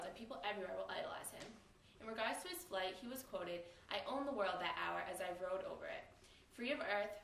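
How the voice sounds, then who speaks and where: A woman's voice: medium pitch, fairly bright tone, lightly acted. A woman playing an earnest 1920s news anchor, reading a formal scripted newscast in an amateur production. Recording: echoey, distant mic, faint noise.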